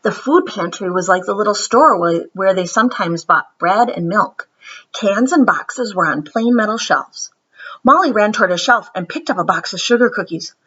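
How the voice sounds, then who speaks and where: A woman reading a children's picture book aloud in an animated, expressive storytelling manner. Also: medium pitch, moderate pace, breathy edge, muffled recording. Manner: animated, dramatic storytelling with whispered asides. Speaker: storybook reader.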